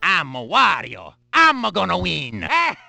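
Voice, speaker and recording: A man voicing a confident, gloating cartoon villain racer in a video game, boastful and yelling. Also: high voice, wildly swooping pitch, takes it slowly, creaky, no room sound, faint music behind, full character voice. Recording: noisy retro game clips.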